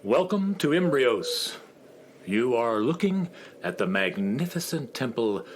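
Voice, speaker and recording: An actor playing a bumbling, politely reverent temple curator in a children's radio comedy, halting and deferential. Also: mid-range voice, sing-song, slight background noise.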